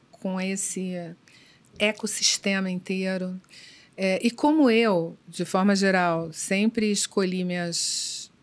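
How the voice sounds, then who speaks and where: A middle-aged woman executive answering interview questions in a measured, thoughtful way, pausing often with fillers. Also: mid-range voice. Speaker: business executive guest. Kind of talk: conversational podcast interview.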